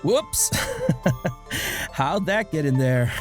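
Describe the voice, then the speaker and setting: Guy doing a breezy cheerful cartoon dad, kinda high voice that jumps all over, music behind. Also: drawn-out words, full character voice. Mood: playful. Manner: jovial, breezy, expressive. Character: cheerful, easygoing middle-aged dad who loves poker. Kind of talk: webcomic fan dub acting.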